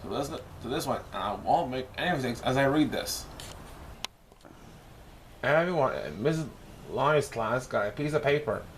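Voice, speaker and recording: A man's voice: mid-range voice, lively intonation, normal speed, long pauses. Man reading a children's story, noisy recording. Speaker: storyteller reading a picture book. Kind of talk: read-aloud children's story narration.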